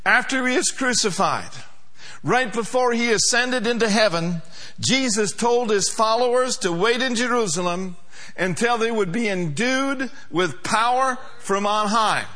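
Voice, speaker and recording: A man's voice: high voice, measured pace, bright, forceful tone. A male pastor preaching a sermon to a congregation, emphatic, with call-and-response.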